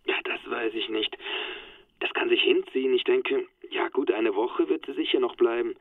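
A thin, boxed-in telephone voice, very dry: a polite husband in a radio play. He speaks haltingly and hesitantly at a middling male pitch. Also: dry recording. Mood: polite, subdued. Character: hesitant, polite husband answering a phone call. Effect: telephone filter. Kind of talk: German radio drama dialogue. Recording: thin, narrow telephone line sound.

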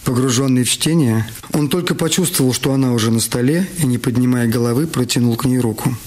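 A man's voice: medium pitch, fast talker, darkish tone, close to an everyday voice. A man narrating a literary detective story as part of an audio drama. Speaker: narrator in a radio play. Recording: music under the voice. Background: music.

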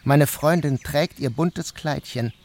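A man narrating a literary first-person story as an audiobook reader, in a calm, even manner. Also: on the high side, takes it slowly, slight background noise.

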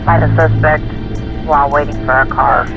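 A man's voice: a little high, fairly even intonation, moderate pace, gravelly. A man giving a procedural emergency dispatch report in a matter-of-fact manner. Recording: loud music and street noise behind.

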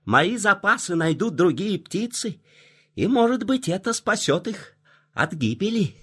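Children's audio play: thrifty, friendly nuthatch bird, high-pitched, husky, self-assured. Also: male voice, very animated, measured pace, exaggerated voice. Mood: matter-of-fact, friendly.

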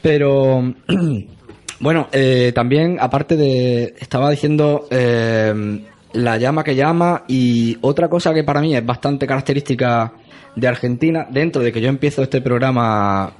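A man from Spain with a Spanish accent, hosting a laid-back podcast conversation. He talks in a soft-spoken, thoughtful way and fills the pauses with murmured agreement. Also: mid-range voice. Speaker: podcast host. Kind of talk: casual conversation.

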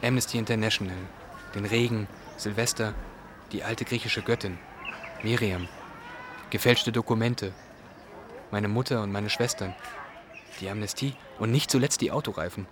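An actor playing an earnest, wondering young refugee in a radio drama monologue, speaking in a questioning way. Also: male voice, lowish voice, moderate pace, pauses often, natural voice. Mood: earnest, puzzled. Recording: clear outdoor ambient noise.

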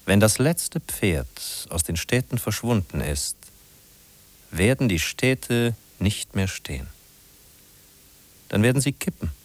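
Low-voiced, swooping radio play narrator. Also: male voice, exaggerated voice. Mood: solemn, foreboding. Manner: rhetorical, emphatic, questioning then answering himself. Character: narrator addressing the listener, urgent, musing guide to a big city. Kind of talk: 1950s German radio drama narration.

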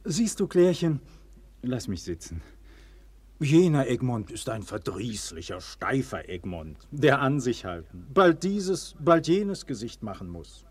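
A man's voice: medium pitch, very animated, unhurried, slightly rough, dry recording. Theatrical nobleman, swooping declamatory voice. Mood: impassioned, weary. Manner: theatrical, declamatory, swelling and falling to hushed tenderness. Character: ardent nobleman, proud and weary, tender lover. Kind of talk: classic German radio play acting.

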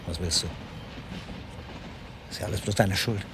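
An actor playing a hostile, cornered criminal in a German radio crime drama, coarse and accusing, with a gravelly voice. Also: male voice, low-pitched, fairly even intonation, moderate pace, noisy background, close to an everyday voice. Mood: bitter, hostile. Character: bitter, hostile male ex-anarchist criminal, cornered.

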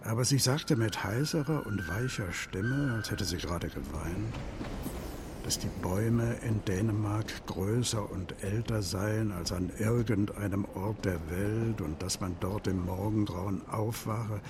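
An actor narrating as a melancholy male storyteller in a literary radio play, in a measured manner. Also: on the low side, noisy background. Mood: subdued.

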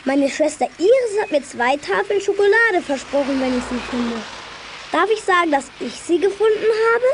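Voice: very high voice, expressive, normal speed, a bit bright, a little hiss or hum, exaggerated voice, rough recording. A performer playing an eager, chatty ten-year-old boy in a children's radio play. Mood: earnest, chatty. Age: child character aged ten. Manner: artless, blurting, hesitant when recalling.

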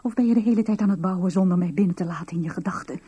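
An actress in a 1970s radio play playing a young woman in love, yearning and demanding, with a very breathy, husky voice. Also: lowish voice, rapid-fire, mellow. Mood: pleading. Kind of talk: 1970s Dutch-language radio play acting.

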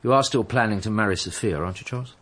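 Brisk, low-voiced sceptical inspector. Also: male voice, fairly bright tone. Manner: formal, pointed. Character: probing, sceptical, official police inspector. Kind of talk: radio drama acting.

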